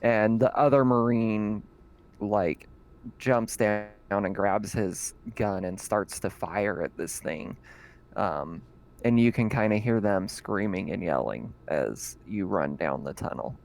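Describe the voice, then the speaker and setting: A man's voice: fairly low pitch, vocal fry, darkish tone. A man running a tabletop horror game as game master, narrating casually with frequent laughs and filler sounds. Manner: laughs often, fills pauses with "uh" and "um".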